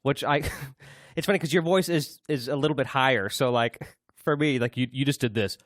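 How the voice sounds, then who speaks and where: A man's voice: fairly quick, a bit bright, no room sound, clean studio sound. A young man co-hosting a podcast in casual chat, playful, sometimes imitating instrument sounds. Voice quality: can go very low. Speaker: podcast co-host.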